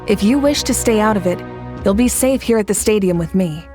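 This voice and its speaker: A composed, commanding female leader, voiced by a woman in a game, speaking at a mid pitch. She is measured and reassuring, with quiet authority in every sentence. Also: lively intonation, faint music behind, natural voice. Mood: steady. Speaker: leader of a nation at war. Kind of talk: video game voice acting.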